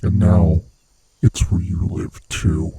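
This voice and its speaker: A man voicing a very husky, deep predatory monster. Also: level tone, warm tone, no room sound, exaggerated voice. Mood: smug, possessive. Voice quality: husky, breathy, rasping low voice. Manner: slow, menacing, theatrically taunting. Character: predatory male monster hunting human prey, gloating, sinister. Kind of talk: horror ASMR roleplay addressed to the listener.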